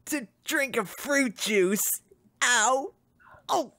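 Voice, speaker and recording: A woman voicing a brooding, put-upon male cartoon duck in a comic parody dub, halting, exasperated and dramatic. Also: a little high, lively intonation, pushed and bright, heavily acted. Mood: pained. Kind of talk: live comic dub reading.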